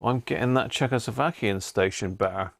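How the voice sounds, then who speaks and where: A man's voice: fairly low pitch, fairly quick, gravelly, no echo, studio quality. A British man hosting a casual livestream, talking informally to viewers and thinking aloud with frequent tag questions. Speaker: livestream host.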